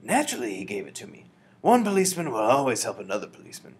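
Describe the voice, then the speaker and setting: Storybook role: a man voicing a quietly confident elderly grandmother, steady and reassuring, lively pitch. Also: breathy edge, heavily acted. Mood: calm, reassuring. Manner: measured, reassuring.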